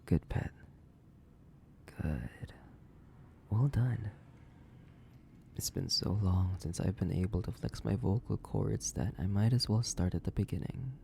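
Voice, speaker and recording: Man voicing a slow, gravelly, sadistic vampire. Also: sits low, dark tone, a little hiss or hum. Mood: possessive, savoring. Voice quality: gravelly, breathy, often dropping to a whisper. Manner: slow, theatrical, savoring, condescending. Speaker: audio roleplay voice actor. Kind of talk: horror audio roleplay monologue.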